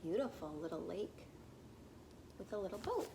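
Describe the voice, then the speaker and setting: A woman reading a children's picture book aloud expressively, in a sing-song way, pausing to put questions to young listeners. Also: medium pitch, big pitch swings, gravelly, noisy background.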